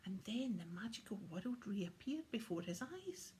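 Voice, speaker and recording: A woman reads a children's story aloud as an audiobook narrator. Her voice sits at a medium pitch and her delivery is expressive, with some faint noise behind it. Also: unhurried. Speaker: audiobook reader. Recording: clear background noise.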